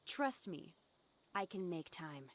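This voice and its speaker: A woman's voice: lowish voice, lively intonation, slow, no echo. A woman voicing a stern but caring teenage schoolgirl, scolding yet concerned, in a fan dub of a visual novel game. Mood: annoyed, stern.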